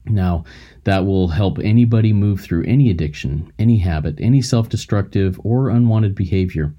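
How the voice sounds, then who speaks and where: A man's voice: low voice, normal speed, warm tone, highs cut off. A man hosting a podcast, reading an earnest scripted intro and then interviewing a guest with encouragement.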